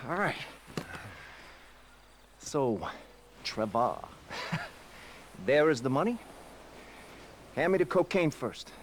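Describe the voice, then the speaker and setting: An actor playing an over-friendly, nervous drug buyer in a video game, hesitant and stilted. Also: male voice, a little high, big pitch swings, slow pace, long pauses, slight background noise. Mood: stilted, probing. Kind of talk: video game cutscene acting.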